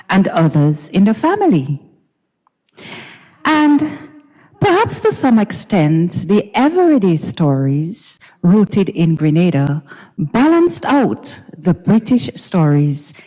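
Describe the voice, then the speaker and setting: A woman giving a dramatized literary reading on stage in a recital hall, deliberate and theatrical, with pauses and repetition. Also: on the low side, mellow. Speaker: dramatic reader. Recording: recital hall with long reverb.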